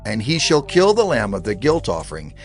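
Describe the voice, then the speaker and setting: Expressive male scripture narrator. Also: lowish voice, brisk, soft background music. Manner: steady, expressive, reverent reading.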